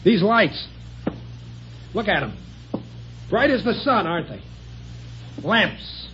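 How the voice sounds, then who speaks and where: An actor playing a frightened, desperate young man in a 1950s radio drama, pleading in tense, halting bursts, on a dull old broadcast recording. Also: high-pitched, sing-song, a bit bright, a little hiss or hum, full character voice. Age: young adult. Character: panicky, desperate young man at his rope's end.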